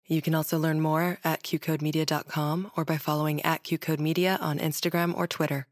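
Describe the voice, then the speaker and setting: A woman's voice: sits low, little pitch movement, a little fast, dry recording, studio quality. A woman podcast announcer reading a scripted announcement.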